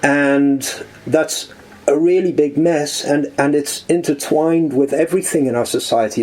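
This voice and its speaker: A man talking as a podcast guest in a living room, reflective and halting, with frequent restarts as he thinks aloud. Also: mid-range voice, warm tone, rough recording. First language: English. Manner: thoughtful, halting, many restarts. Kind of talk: reflective conversational interview.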